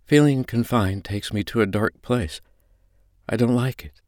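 A man's voice: mid-range voice, measured pace, darkish tone, no echo, clean studio sound, pitched above the performer's own voice, natural voice. A man voicing an anxious, submissive woman who speaks meekly in an audiobook story. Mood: scared, vulnerable. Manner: meek, obedient, fearful.

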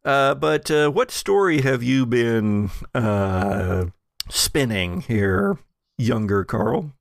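A man narrates a tabletop game as its game master, improvising as he goes. He is slow and halting, searching for words, with creak in his voice and a dry, close recording. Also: mid-range voice, sing-song, no echo.

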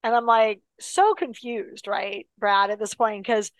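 Animated woman telling a story. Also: fairly high pitch, a little slow, no echo. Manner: animated, many fillers and restarts. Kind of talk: casual spoken storytelling.

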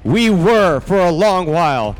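A high-voiced, exasperated father. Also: big pitch swings, a little slow, faint music behind, rough recording. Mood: stern. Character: stern, exasperated father of two, increasingly frantic. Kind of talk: old-time radio drama acting.

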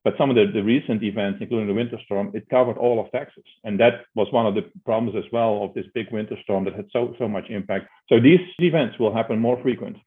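Dutch accent guy, kinda low voice, sounds like a phone call, explaining stuff in an interview, repeats words a bit. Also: quick pace, hardly any pauses. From Netherlands. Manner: explanatory, repeats words as he builds his points. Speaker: interview guest, energy consulting executive. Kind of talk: conversational interview answers. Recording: narrow tinny phone-like line.

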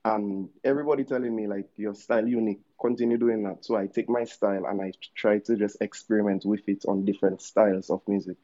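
A man's voice: lowish voice, fairly even intonation, quick pace, hardly any pauses, warm tone, highs cut off. A man in his thirties from Dominica with a Dominican accent, a musician telling his story in a casual, laid-back interview with slangy asides. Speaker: musician guest.

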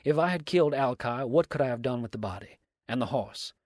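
A man's voice: medium pitch, animated, a little fast, darkish tone, no echo, close to an everyday voice. Guy doing a sneering murder suspect from an old story, sarcastic and mocking, big theatrical exclamations, really dry sound. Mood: defiant. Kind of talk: dramatic storybook reading.

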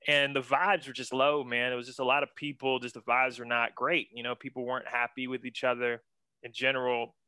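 Bright, crisp-voiced laid-back young man. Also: mid-range voice, level tone, fairly quick, no room sound. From western Pennsylvania. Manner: laid-back, slangy, enthusiastic, says man a lot. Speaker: guest, senior art director. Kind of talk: casual interview chat.

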